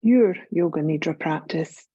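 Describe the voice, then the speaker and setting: A woman leads a guided relaxation in a soft-edged, mellow voice. She is calm and soothing, speaking very slowly and leaving long pauses between phrases. The recording sounds slightly dull and muffled. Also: low-pitched, slightly rough, no room sound. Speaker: yoga nidra guide. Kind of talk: guided meditation, scripted.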